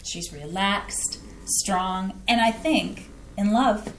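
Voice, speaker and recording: A woman's voice: mid-range voice, drawn-out words, fairly bright tone. A woman in a staged play reading playing a churchgoing middle-aged mother with a soothing, folksy way of talking. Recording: roomy playhouse stage sound.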